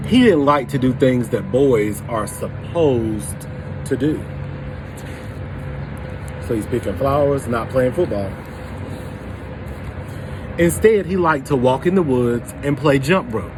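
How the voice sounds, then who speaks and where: A man reading a picture book aloud to children, pausing to put questions to his young listeners. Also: medium pitch, animated, noisy background. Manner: pauses to prompt young listeners. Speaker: storybook reader for children.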